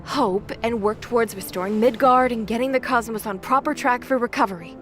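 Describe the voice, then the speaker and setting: A woman's voice: on the high side, fairly quick, a bit bright, soft background music, natural voice. A woman voicing a practical young warrior girl in an audio drama, matter-of-fact and wry. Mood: reluctant, firm. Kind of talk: audio drama character acting.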